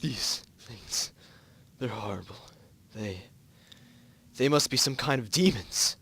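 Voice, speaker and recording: A man's voice: medium pitch, animated, slow pace, creaky, pushed and bright, husky, full character voice. Man playing a distraught, desperate pony heroine. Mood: weary, horrified. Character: distraught female unicorn pony, panicked and desperate.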